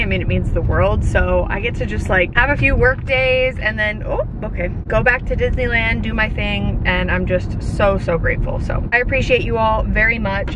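A young woman in her twenties chats upbeat to a camera she holds at arm's length. Her voice sits at a middle pitch and she fills her talk with casual "like"s. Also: highs cut off, noisy background. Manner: chatty and upbeat, lots of "like". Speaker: vlogger. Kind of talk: casual vlog chat to camera. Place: kitchen, car and closet indoors. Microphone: handheld camera at arm's length.

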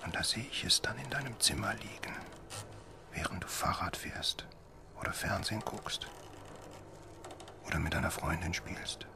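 An actor playing a haunted, grieving middle-aged father in an audio drama, halting and often hushed. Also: sits low, lively intonation, creaky, whispered. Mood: bitter, sorrowful whisper. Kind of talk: audio drama acting, alternating intimate inner monologue and dialogue. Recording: noticeable background noise and ambience.

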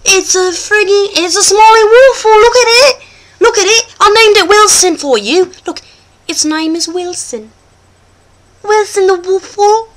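Woman acting hammy, squeaky-high British male host. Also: unhurried. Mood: excitable, silly. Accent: exaggerated British. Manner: hammy, excitable, rambling. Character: Christopher Waffle Banks, boastful goofy British male show host. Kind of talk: comic sketch vlog presenting to camera.

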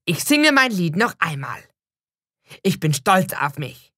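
A woman's voice: lowish voice, big pitch swings, takes it slowly, a bit bright, dry recording, studio quality. A woman reading a scripted first-person narration as a storyteller.

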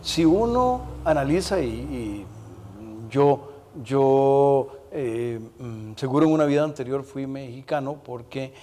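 A man in his sixties hosting a televised interview, speaking in a deliberate way with long hesitations. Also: medium pitch, animated, warm tone. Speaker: interview show host. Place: brick-walled indoor room, television set. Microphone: lapel mic. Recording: reverberant room echo.

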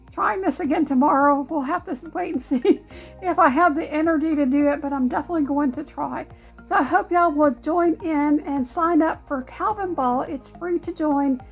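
Brief: woman crafter, high-pitched, chatty, voice a bit worn, soft music underneath. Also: creaky. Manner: chatty, laughs often, talks to her dogs. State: losing her voice. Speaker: crafting channel host. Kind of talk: casual vlog talk. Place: home craft room.

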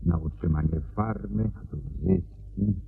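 An actor playing a sickly, nervous estate bookkeeper in a radio play, speaking politely and hesitantly. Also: male voice, sits low, measured pace, vocal fry, husky, full character voice. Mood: obliging, nervous. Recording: tinny band-limited radio recording with hum.

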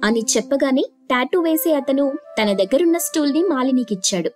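A woman's voice: high-pitched, expressive, a little fast, a little mellow. A woman storyteller reading scripted narration. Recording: faint music bed. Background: faint background music.

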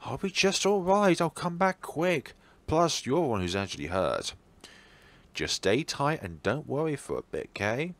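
Guy doing a goofy teasing anime schoolboy, sing-song, pitch all over the place, visual novel style. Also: very animated, measured pace. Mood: breezy. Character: playful teasing teenage schoolboy, goofy and breezy.

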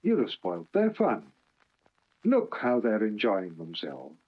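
Man as a sensible, husky little engine. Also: on the low side, huge pitch swings, slow, exaggerated voice. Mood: amused. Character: cheerful, sensible, dry-witted male tank engine. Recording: dull lo-fi archive recording.

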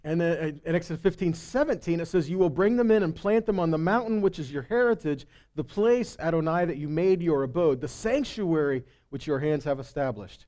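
Male Bible teacher, middle-aged. Soft-edged, warm tone, conversational yet emphatic sermon delivery on a headset mic. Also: fairly high pitch, lively intonation, fairly quick, few pauses. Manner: conversational, checking in with listeners. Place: indoor stage in a meeting room or hall. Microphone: headset microphone.

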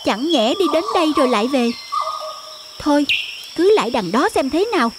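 Very high-pitched, eager cartoon rooster. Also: female voice, very animated, normal speed, heavy background noise. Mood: curious. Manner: exclamatory, insistent. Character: eager, stubborn, curious young cartoon rooster. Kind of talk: animated children's story acting.

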